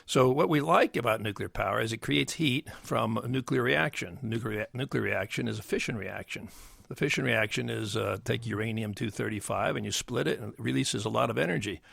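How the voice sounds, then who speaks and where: An older man with a bass voice, explaining as an interview guest in a halting way with many fillers and restarts. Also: a bit bright, dry recording. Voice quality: gravelly bass voice. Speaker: guest, nuclear engineer. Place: dry podcast studio. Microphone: studio mic.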